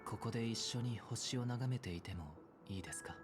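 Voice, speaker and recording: A man's voice: mid-range voice, rapid-fire, music in the background, close to an everyday voice. An actor playing a reserved, philosophical young man in an anime-style game, formal, courteous and melancholy. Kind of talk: Japanese anime-game voice acting.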